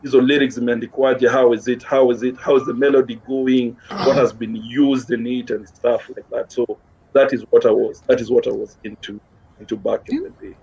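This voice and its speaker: The recording is lo-fi and clearly noisy. A Kenyan-accented man talks at a medium pitch in a casual, code-switching way. He speaks informally as a guest, often repeating a word to stress it. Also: level tone, fairly quick, a little mellow. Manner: informal, repeats words for emphasis. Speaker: interview guest, gospel musician. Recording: dull lo-fi sound with clear background noise.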